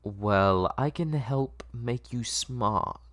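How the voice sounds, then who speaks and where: A man playing a manipulative mad doctor with a coaxing, drawn-out, falsely soothing manner in an audio story. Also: mid-range voice, fairly bright tone.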